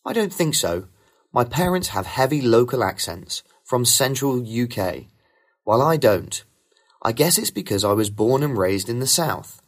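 A man reading rehearsed model answers for a speaking test in a smooth, even way. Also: medium pitch, normal speed. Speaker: sample test candidate.

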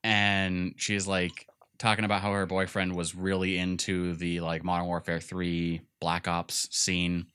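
Low, bright-toned young man chatting casually. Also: unhurried, smooth. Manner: relaxed, retells stories with quoted voices. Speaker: podcast co-host. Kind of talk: casual chat.

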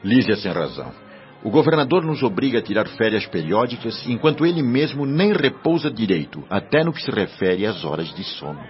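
An actor playing a kindly, earnest spirit guide who explains things reverently in radio soap style. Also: male voice, fairly low pitch, sing-song. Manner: didactic, reverent, measured. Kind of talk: Brazilian radio soap acting. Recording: dull radio drama with music behind.

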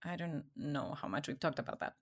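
A woman's voice: low-pitched, a little fast, slightly rough. A woman from Ecuador, probably in her thirties or forties, talking casually and expressively in an informal conversation.

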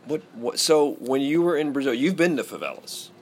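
A male interviewer asking long, halting questions that he rewords as he goes. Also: mid-range voice, lively intonation, a little mellow, slight background noise.

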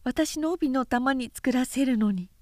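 A woman's voice: sits high, measured pace, a little mellow, no room sound, clean studio sound, pitched above the performer's own voice. A woman voicing an innocent, curious teenage bride in a historical audiobook, artless and coaxing. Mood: innocent curiosity.

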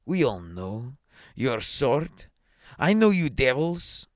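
A man's voice: mid-range voice, sing-song, a little slow, no room sound, clean studio sound. Man putting on a pompous, blustering police superintendent. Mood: accusing. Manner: pompous, barking orders and questions. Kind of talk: dramatised short story reading.

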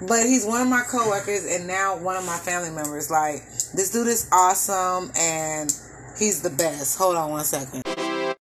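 A woman hosting a casual podcast from an apartment, chatty and quick to laugh, talking to her listeners as "y'all.". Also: lowish voice, fairly bright tone, soft background music, poor audio. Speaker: podcast host. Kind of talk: casual chat.

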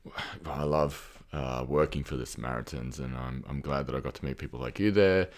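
A middle-aged man with an Australian accent and an extremely deep, low voice. He talks in a thoughtful, hesitant way, pausing on ums and restarting his sentences as he works through his ideas in a relaxed podcast conversation. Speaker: podcast guest. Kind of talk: reflective conversational talk.

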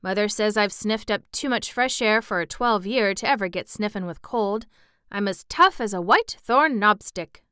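A woman's voice: mid-range voice, moderate pace, sharp tone, clean tone, no echo, studio quality, natural voice. A woman voicing a cheerful, hardy twelve-year-old country boy who loves nature, speaking hearty, earnest Yorkshire dialect in a storybook reading. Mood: cheerful, proud.

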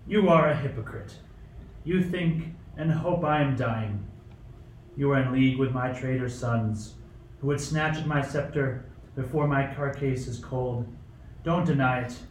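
A man's voice: medium pitch, measured pace, lightly acted. An actor playing a sick, suspicious old tyrant king in a radio play, snappish and imperious. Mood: irritable, accusing. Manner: imperious, snappish, paranoid accusations. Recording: roomy, distant mic, faint noise.

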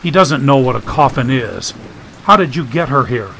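Male narrator as irritable tired man, curt questions, impatient, creaky voice, storybook character. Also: medium pitch, animated, normal speed, fairly bright tone, a little hiss or hum.

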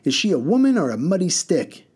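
A man voicing a quarrelsome woman passenger who shouts and scolds shrilly. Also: high-pitched, very animated, fairly quick, slightly rough, voice pushed up, exaggerated voice. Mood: scolding.

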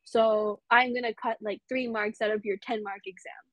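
A woman's voice: on the high side, fairly even intonation, moderate pace, a bit bright, no room sound, rough recording. A young woman with an American accent, a podcast guest chatting casually with lots of fillers and easy laughs. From United States. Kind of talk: casual interview chat.

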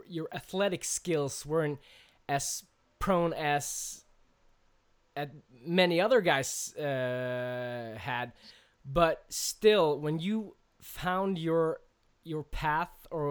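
Stumbling podcast host, smooth voice. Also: male voice, fairly high pitch. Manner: halting, stumbling over words, laughs often. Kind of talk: casual interview chat.

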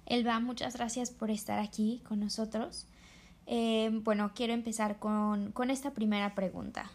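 A woman interviewer reading a measured, scripted introduction, then asking questions and giving short encouraging replies. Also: a little high, steady tone.

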